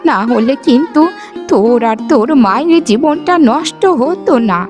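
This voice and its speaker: A woman's voice: high-pitched, animated, normal speed, music in the background. A woman voicing an aggrieved elderly village grandmother in a cartoon, plaintive and reproachful. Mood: lamenting. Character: aggrieved elderly village grandmother, scolding her wayward son. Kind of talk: cartoon voice acting in a moral story.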